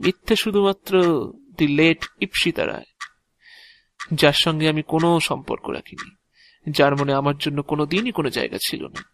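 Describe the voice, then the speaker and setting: A man acting an excitable, chatty office clerk in an audio drama, gushing sarcastic flattery with stammered repetitions. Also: fairly high pitch, brisk, pauses often, no room sound. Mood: bitter.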